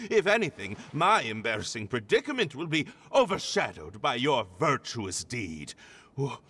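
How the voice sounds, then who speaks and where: A pompous male aristocrat from a video game, stilted and easily flustered. The voice is sharp and rather high, delivered unhurriedly with big swings, ringing in a long reverb. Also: heavily acted. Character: pompous, face-saving male aristocrat in distress. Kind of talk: video game cutscene acting. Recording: long cave-like reverb, music behind.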